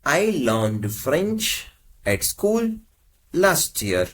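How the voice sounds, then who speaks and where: Very slow, lively-pitched male teacher. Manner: measured, carefully spaced phrases. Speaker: language teacher. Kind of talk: slow, deliberate lesson prompts.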